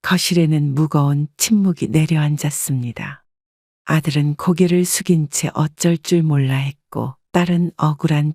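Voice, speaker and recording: A woman narrating a scripted first-person family drama in a calm, even storytelling manner. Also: deep voice, no echo, studio quality.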